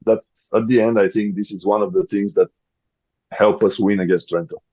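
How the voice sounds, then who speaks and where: A man in his thirties with a Bulgarian accent, a podcast guest speaking English as a second language and often pausing to search for words. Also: lowish voice, fairly even intonation, warm tone, husky, no echo, low-quality recording. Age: 30s. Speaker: guest, volleyball player. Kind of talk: podcast interview.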